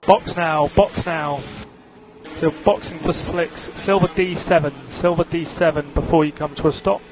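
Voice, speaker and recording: Higher-voiced male race engineer, very noisy radio. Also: steady tone, normal speed, dull audio. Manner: repeats instructions, businesslike. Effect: team radio. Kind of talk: clipped motorsport radio instructions. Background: vehicle noise.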